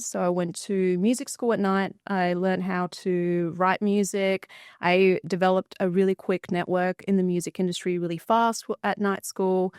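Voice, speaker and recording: A woman's voice: fairly low pitch, clear, resonant tone, dry recording, studio quality. A woman in her thirties, raised in Australia, telling her story fluently as a podcast guest. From Bulgaria, raised in Australia. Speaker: guest entrepreneur. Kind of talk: podcast interview.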